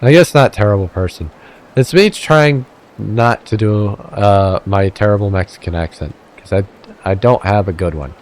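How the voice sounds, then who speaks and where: A man's voice: fairly low pitch, moderate pace, a little mellow. Rambling, self-deprecating Midwestern gamer man. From Midwestern United States. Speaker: let's play gamer. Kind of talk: casual gameplay commentary.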